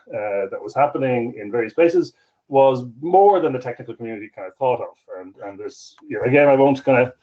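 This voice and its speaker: Hesitant male speaker, steady mid voice, slightly dull call audio. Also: medium pitch. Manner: hesitant, many fillers and restarts. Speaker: conference speaker. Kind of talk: conference talk over video call.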